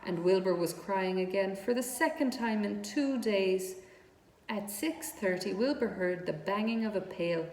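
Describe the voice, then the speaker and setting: A woman narrates a children's storybook with deliberate, expressive phrasing at a medium pitch. Her voice rings in an echoey room with clear background noise. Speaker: storybook reader.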